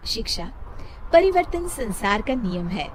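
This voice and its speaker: A woman's voice: fairly high pitch, big pitch swings, unhurried, a little hiss or hum, rough recording. A woman storyteller reading a scripted moral story as narrator.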